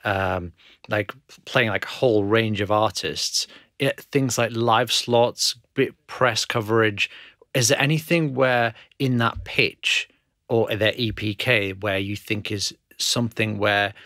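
A man's voice: on the low side, steady tone, slow, sharp tone, dry recording. A man in his thirties hosting a podcast, asking loose, meandering questions casually into a desk microphone in a small studio. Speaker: podcast host, interviewer. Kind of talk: casual interviewing.

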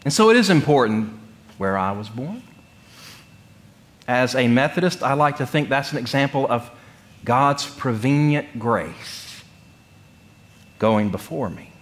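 A middle-aged man from North Carolina, preaching in a conversational, humorous storytelling way with deliberate pauses. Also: mid-range voice, big pitch swings. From North Carolina, United States. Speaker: pastor. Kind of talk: sermon with personal storytelling. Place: church sanctuary, speaking from the pulpit.

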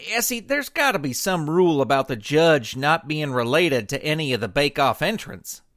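A man's voice: on the high side, expressive, normal speed, fairly bright tone. A man voicing a good-natured, wary young fish deputy in a cartoon, folksy and hesitant. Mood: reluctant. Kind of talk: cartoon audio drama acting.

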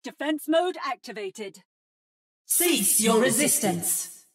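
An adaptive female robot from a video game, mimicking fighters' battle calls. The voice is high and unhurried. Delivery is precise, synthetic and theatrical. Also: expressive, soft background music. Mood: precise, robotic. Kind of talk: video game character voice lines.

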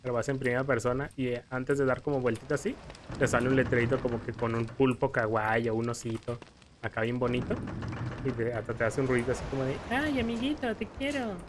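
A man's voice: mid-range voice, fairly quick, background noise. Rambling young Mexican man. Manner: rambling, stammering storyteller who acts out scared quotes. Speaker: gameplay commentator. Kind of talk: casual gameplay chat. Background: game music.

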